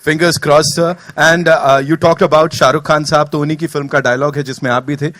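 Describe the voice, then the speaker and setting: A man's voice: a little high, little pitch movement, racing, fairly bright tone, dry recording. A man hosting a press event, switching between Hindi and English in an upbeat, pushy way. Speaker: event host.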